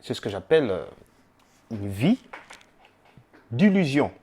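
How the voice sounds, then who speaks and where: A man's voice: mid-range voice, big pitch swings, takes it slowly, a little mellow, slight background noise. A young man from the Democratic Republic of the Congo hosting his own channel, talking casually to his viewers with frequent fillers and rephrasings. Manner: conversational, with many fillers and rephrasings. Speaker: YouTube channel host. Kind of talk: casual monologue to camera.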